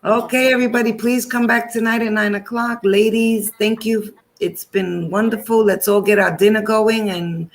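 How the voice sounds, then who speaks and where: A man's voice: sits high, steady tone, moderate pace, hardly any pauses. A man hosting a casual panel chat, upbeat and encouraging, signing off with blessings. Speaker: show host.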